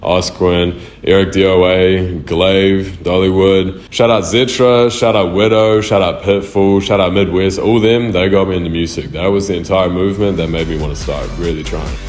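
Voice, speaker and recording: Young New male artist, very deep, swears freely, echoey room. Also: fairly even intonation, normal speed, few pauses, a little mellow, soft background music. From New Zealand. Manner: loose, slangy and profane. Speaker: music artist. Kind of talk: casual answers to interview questions. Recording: roomy echo.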